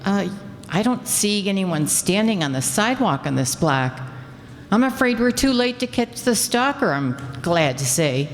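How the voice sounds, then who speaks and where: A woman's voice: medium pitch, big pitch swings, unhurried. A woman playing a detective's plucky, teasing sweetheart in an old-time radio drama. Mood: nervous, watchful. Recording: echoing hall with background noise.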